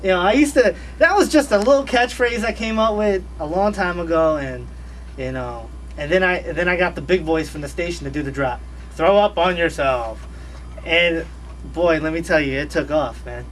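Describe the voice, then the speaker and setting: Brief: high-pitched man, radio guest, slangy and repetitive, a little off-mic. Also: moderate pace, far from the mic. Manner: laid-back, animated, slangy, repeats phrases. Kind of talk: casual radio interview chat. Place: radio studio.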